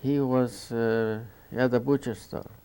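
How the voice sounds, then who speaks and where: A man in his seventies from rural Romania, answering interview questions haltingly, with many restarts. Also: mid-range voice, steady tone, mellow, smooth. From Bukovina, Romania. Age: 70s. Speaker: Holocaust survivor interviewee. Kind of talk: oral history interview.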